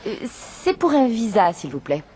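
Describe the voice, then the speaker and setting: A woman's voice: mid-range voice, very animated, moderate pace, dark tone, a little hiss or hum, close to an everyday voice. A woman acting as a polite everyday character in a scripted language-lesson dialogue. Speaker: dialogue actor.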